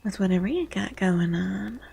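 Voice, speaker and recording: A woman livestream host speaking softly and breathily, often half-whispering, in a gentle ASMR chat with viewers, repeating short phrases. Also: mid-range voice, lively intonation, normal speed, creaky, husky.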